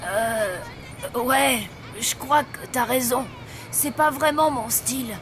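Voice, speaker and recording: A woman's voice: sits high, slow, a crowd in the background, noisy background. A woman voicing an awkward young zombie boy in a cartoon dub, with a hesitant, slangy delivery. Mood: bashful. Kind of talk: casual cartoon dub acting. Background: loud music.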